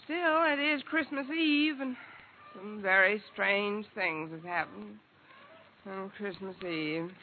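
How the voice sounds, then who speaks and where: A woman's voice: medium pitch, takes it slowly, phone-quality sound. An actress playing a wry, wisecracking single woman in a mid-century radio sitcom, on an old recording. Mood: drowsy. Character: wry single woman alone with her cat. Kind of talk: 1940s-50s radio sitcom acting and narration. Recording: noisy, band-limited old radio recording.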